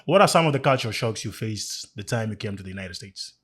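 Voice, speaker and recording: A man's voice: lowish voice, expressive, fast talker, a bit bright, husky. A man from Kenya in casual conversation, easygoing and often murmuring agreement.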